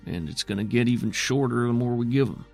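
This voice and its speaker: Tabletop RPG role: gruff, folksy settlement man, acted by a male game master, gravelly, weary and stubbornly skeptical. Music underneath. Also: mid-range voice, quick pace, a little mellow. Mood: grimly defiant. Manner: folksy drawl-like grammar, plainspoken and skeptical.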